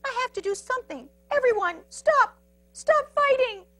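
Little prairie dog peacemaker, woman narrator doing the voice, squeaky high, urgent, earnest, children's story. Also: moderate pace. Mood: urgent pleading.

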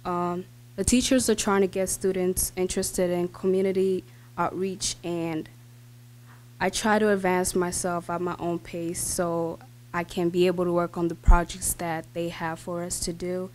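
A teenage girl reads her prepared remarks at a board meeting podium, stopping for fillers and small restarts. Her voice sits low and stays even. A faint hum runs under the recording. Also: normal speed. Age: teens. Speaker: student speaker. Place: school board meeting room. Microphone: podium microphone.

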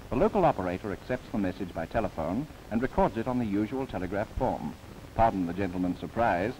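A man's voice: low voice, a little mellow, low-quality recording. A man narrating a 1930s government documentary film, with playful asides. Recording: old 1939 film soundtrack with faint hiss.

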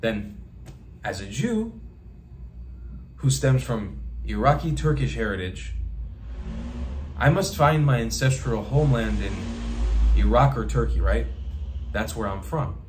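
A man's voice: mid-range voice, expressive, measured pace, long pauses, a little mellow, slight background noise. Emphatic man speaking straight to camera. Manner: emphatic, repeats phrases for rhetorical effect, gestures with hands. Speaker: travel vlogger. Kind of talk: impassioned direct-to-camera monologue. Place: small bedroom indoors.

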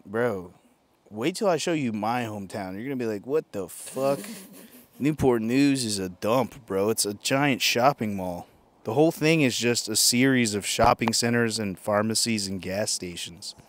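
A young man in his thirties hosting a casual comedic podcast on a backyard patio, holding a handheld mic, animated and profane, bursting into silly mock singing. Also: on the low side. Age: young adult. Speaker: podcast host.

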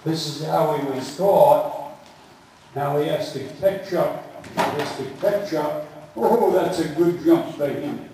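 A male riding coach gives short, repeated commands in a slow, emphatic way. His voice is fairly high for a man and somewhat mellow. It comes from a distance across a very echoey covered arena. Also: background noise. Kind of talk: calling out instructions and critique during a show jumping clinic. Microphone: distant camera at the side of the arena.

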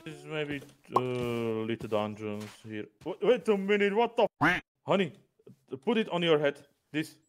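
A young man with a Slovak accent, talking haltingly and searching for English words while gaming. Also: a little high. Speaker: co-host gamer. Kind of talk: casual gameplay chat. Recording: music playing over the voice.

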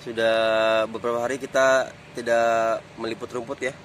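A man's voice: medium pitch, moderate pace, a little hiss or hum. A man giving a casual walking tour of a stadium construction site, pointing things out as he goes, filmed outdoors on a phone. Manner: relaxed, pointing things out as he walks. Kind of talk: casual walk-and-talk commentary. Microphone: phone camera held low while walking.

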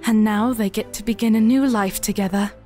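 A woman playing a sentimental, soft-hearted good fairy in a fairy-tale audio drama, gushing and tearful. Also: medium pitch, fairly even intonation, fairly quick, soft background music, natural voice. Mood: tearful, happy.

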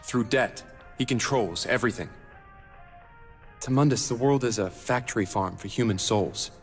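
A man playing a solemn young half-demon mastermind in a video game, speaking in a measured, articulate, grave way. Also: mid-range voice, lightly acted. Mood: measured explaining. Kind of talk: cinematic video game dialogue and exposition. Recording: long reverb, music underneath.

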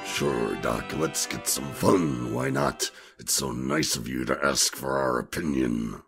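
A man playing a gruff, grumpy tough time traveller in an audio drama, with a gravelly, husky voice and blunt, grumbling delivery. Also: very deep voice, slow pace, fairly bright tone, soft background music, exaggerated voice. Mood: grumbling, sarcastic.

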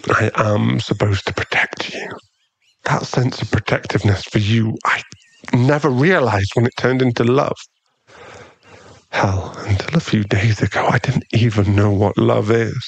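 A man's voice: lowish voice, a little slow, gravelly, husky, exaggerated voice. A man playing a brooding, protective mafia boss in love, in an intimate ASMR boyfriend roleplay. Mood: vulnerable, confessing.

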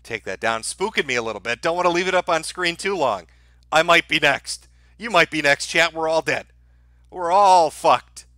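A man hosts a livestream with casual, mocking commentary and plenty of swearing. His voice is lively, swinging widely in pitch, with a sharp, bright edge. The sound is dry and close, and his delivery is sardonic. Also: moderate pace, dry recording. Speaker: livestream host.

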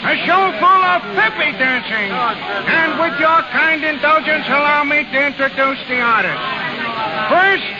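An actor in a 1930s radio drama playing a showy carnival barker delivering declamatory ballyhoo patter. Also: male voice, very high voice, lively intonation, unhurried. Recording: noisy, muffled 1930s radio broadcast.

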